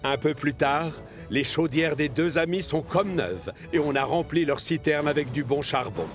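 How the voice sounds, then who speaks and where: A man's voice: fairly high pitch, moderate pace. A man narrating a scripted children's cartoon story. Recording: music behind the voice.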